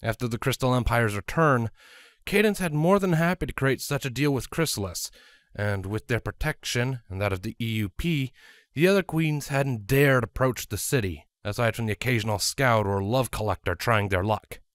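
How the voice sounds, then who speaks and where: Male story narrator, mid-pitched and animated, very dry close sound. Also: normal speed, no room sound. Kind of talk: scripted fan fiction story narration.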